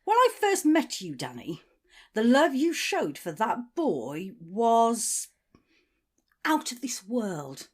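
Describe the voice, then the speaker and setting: A high-pitched, highly animated woman leader played by an actress in an audio drama. She speaks with authority, confiding one moment and ruthless the next, her pitch swinging widely. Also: slow, heavily acted. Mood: supportive. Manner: authoritative, swearing, shifts from supportive to domineering. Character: commanding female community leader, confiding yet ruthless.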